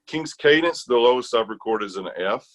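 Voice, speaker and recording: A man's voice: mid-range voice, lively intonation, a little fast, low-quality recording. An American man chatting casually as an interview guest, thinking aloud in loose, broken phrases. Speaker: interview guest, bass gospel quartet singer.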